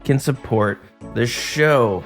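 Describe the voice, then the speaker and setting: A man hosting a podcast in casual chat, his voice a bit hoarse from getting sick, rambling with many fillers and restarts. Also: mid-range voice, takes it slowly, soft background music. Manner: rambling, filler-heavy, self-interrupting. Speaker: podcast host.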